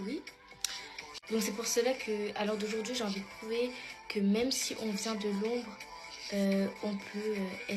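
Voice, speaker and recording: A teenage girl talks casually to her followers while doing her makeup, her voice a touch high and full of fillers and restarts. Clear background music plays under her the whole time. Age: teens. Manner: chatty, many fillers, addresses followers as "mes stars". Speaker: beauty pageant contestant vlogger. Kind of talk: get-ready-with-me vlog, answering follower questions.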